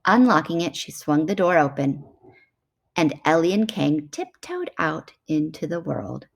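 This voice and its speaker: A woman narrating a children's storybook as an expressive read-aloud. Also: low voice, measured pace, gravelly. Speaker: children's book reader.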